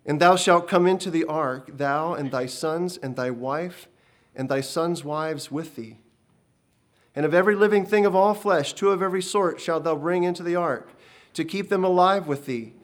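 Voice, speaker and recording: A man's voice: a little high, normal speed, pitched above the performer's own voice, lightly acted. A man voicing a stern, commanding divine voice that proclaims in solemn archaic speech. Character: booming ancient divine voice, male, commanding and stern. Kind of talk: dramatic storytelling reading.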